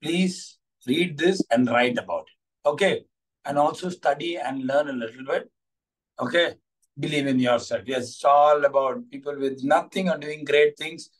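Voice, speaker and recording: Higher-pitched Indian man, distant mic. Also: moderate pace. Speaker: coach leading an online class. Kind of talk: encouraging talk to students. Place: small curtained room at home. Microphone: video call, device at arm's length. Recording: distant microphone.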